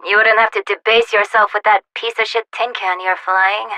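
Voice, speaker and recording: A woman's voice: mid-range voice, fairly even intonation, normal speed, bright, forceful tone. An actress playing a cocky young female drone-hacker soldier in a video game, who fires off mocking, teasing taunts and combat commands. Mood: taunting.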